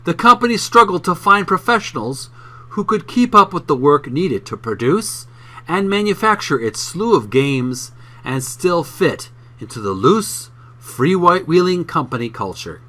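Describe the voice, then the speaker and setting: A man reading a book aloud for his video channel in an emphatic, deliberate way, occasionally stumbling over words. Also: a little high, bright, forceful tone.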